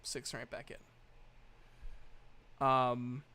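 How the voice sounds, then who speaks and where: A man's voice: medium pitch, monotone, drawn-out words, bright, forceful tone, a little hiss or hum. A man running a tabletop game as game master, narrating casually with an informal, playful, reassuring manner.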